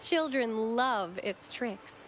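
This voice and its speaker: A gentle, devout young woman of a holy order in a game. Her voice is very high and lilting, with courteous, formal phrasing over background music. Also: expressive, slow pace. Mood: friendly, cheerful. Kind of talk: video game voice acting.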